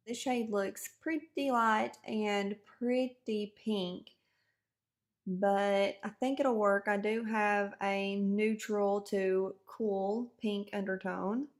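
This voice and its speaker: Woman vlogger with a cold, kinda hoarse, casual makeup review, talks through every step. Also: mid-range voice. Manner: chatty, explains each step as she goes. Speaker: beauty vlogger. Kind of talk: casual product review to camera.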